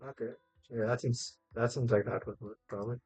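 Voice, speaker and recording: A man's voice: mid-range voice, steady tone, fairly quick, darkish tone, breathy edge. A man giving casual live gameplay commentary, thinking aloud in a musing, hesitant way. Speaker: game streamer. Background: faint game music.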